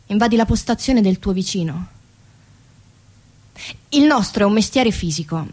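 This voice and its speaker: A woman's voice: mid-range voice, lively intonation, normal speed, a little mellow, close to an everyday voice. An actress playing a weary woman who works on a car assembly line, narrating a radio theatre monologue in short clipped phrases. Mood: tense, wry. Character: weary female car factory assembly-line worker telling her story.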